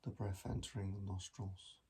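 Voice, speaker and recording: A man guiding a meditation in a gentle, measured manner with long pauses between phrases. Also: low-pitched, monotone, slightly rough. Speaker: mindfulness meditation teacher. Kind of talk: guided body scan meditation.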